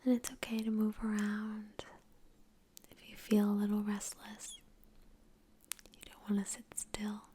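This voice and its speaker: A woman whispering gently and unhurriedly in a breathy voice, close to the microphone, guiding an ASMR meditation with long pauses. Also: mid-range voice, level tone, slight background noise. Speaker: ASMR creator and meditation guide. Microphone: close microphone in front of the face.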